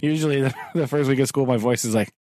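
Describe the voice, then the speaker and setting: A man's voice: mid-range voice, fast, mellow, dry recording, studio quality. A man, a teacher co-hosting a podcast, chatting casually, laughing often and acting out his own thoughts. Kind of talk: casual chat. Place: home.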